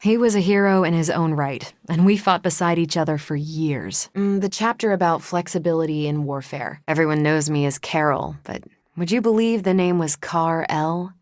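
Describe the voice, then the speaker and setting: A woman's voice: deep voice, normal speed, no room sound, studio quality, close to an everyday voice. An American woman voicing a confident, wry military superheroine in a video game, with a casual, dryly humorous manner. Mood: earnest, assured. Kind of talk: video game character dialogue.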